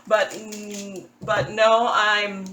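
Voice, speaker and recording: A woman's voice: mid-range voice, little pitch movement, extremely slow, bright, forceful tone, a little hiss or hum. Chatty woman in a roomy room. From Philippines. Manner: chatty, self-deprecating, with small laughs and restarts. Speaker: crafting channel host. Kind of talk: casual voice-over chat while working on a craft. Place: indoors at a table.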